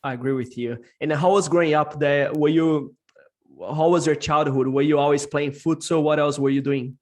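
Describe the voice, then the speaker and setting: A man's voice: a little high, fairly even intonation, moderate pace, a little mellow. A man hosting a podcast, introducing his guest and asking friendly, enthusiastic questions. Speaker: podcast host. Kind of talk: podcast interview.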